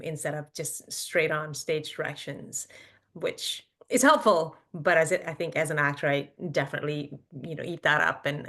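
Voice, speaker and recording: Female actor-narrator with a low register, offering conversational feedback to colleagues. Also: a bit bright. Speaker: actor and stage-direction narrator. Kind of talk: casual feedback in a group discussion.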